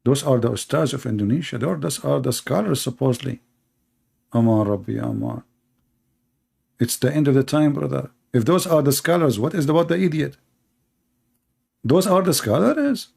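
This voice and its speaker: A man's voice: mid-range voice, gravelly, darkish tone, breathy edge. A man, hosting a commentary show and mocking video clips in a sarcastic, rambling way with many fillers.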